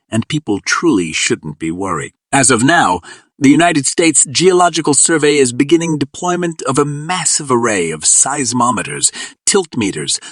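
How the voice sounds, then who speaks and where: Calm careful scientist guy a man acting it, reassuring and formal, pitch moves around a lot, dry close sound. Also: no echo. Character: calm, cautious male volcano scientist reassuring the public. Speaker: scientist being interviewed as an expert. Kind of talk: measured expert interview.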